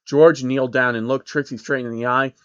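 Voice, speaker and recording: A man's voice: medium pitch, fairly even intonation, brisk, a bit bright, smooth, no room sound, muffled recording. A man narrating a scripted story in a dramatic storytelling style.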